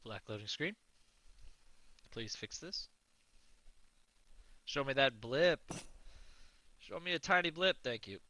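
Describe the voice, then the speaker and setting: Slow, bright-voiced man reacting to game cutscenes. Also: medium pitch, lively intonation. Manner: wry, joking asides. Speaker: video commentator. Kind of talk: casual gameplay reaction commentary.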